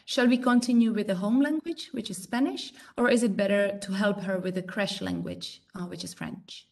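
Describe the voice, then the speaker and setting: A woman's voice: medium pitch, normal speed, a little mellow. A woman, a native Czech speaker, moderating a Q&A and reading audience questions with hesitant fillers.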